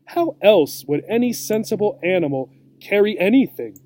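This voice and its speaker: Storybook monkey mother, male reader: brisk, superior, matter-of-fact, soft mellow timbre. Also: high voice, expressive, slight background noise, voice pushed up. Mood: brisk, dismissive.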